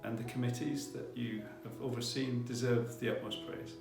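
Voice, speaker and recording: A man's voice: mid-range voice, steady tone, normal speed. A man giving a formal, appreciative ceremony address as a college leader. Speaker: college principal, ceremony speaker. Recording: roomy echo with guitar music.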